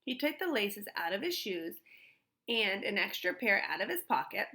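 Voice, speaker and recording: A woman reading a children's storybook aloud in an expressive style. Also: on the high side. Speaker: storybook reader.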